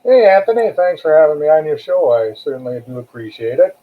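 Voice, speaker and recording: A man's voice: fairly high pitch, sing-song, fairly quick. An older American man answering as an interview guest in a plainspoken, conversational way, repeating phrases for emphasis. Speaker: guest author, retired corrections captain. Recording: tinny, phone-like narrow-band call audio.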